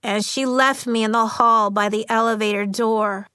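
A woman voicing a hurt but brave schoolgirl in an audiobook, measured and vulnerable as she recounts a memory. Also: fairly high pitch, steady tone, sharp tone, clean tone, no room sound, studio quality. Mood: hurt, subdued.

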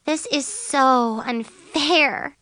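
A woman performs a goofy teenage girl in a children's audiobook. She is bubbly and emphatic, with a very high, bright voice. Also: a little fast, dry recording. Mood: groaning. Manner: expressive, emphatic.

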